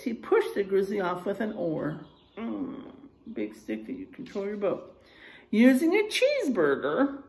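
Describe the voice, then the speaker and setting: A woman's voice: fairly high pitch, vocal fry. A woman reads aloud to children like a teacher, expressively, encouraging them and putting questions to them. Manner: expressive, encouraging, addresses young listeners with questions. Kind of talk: animated children's read-aloud with asides to listeners. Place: small unfinished room or garage with drywall, indoors.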